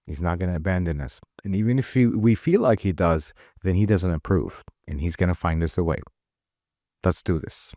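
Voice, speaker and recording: A middle-aged mechanic from Iraq, talking earnestly in a casual conversation, often repeating and restarting words. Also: male voice, deep voice, moderate pace, mellow, no echo, clean studio sound. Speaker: mechanic and shop owner.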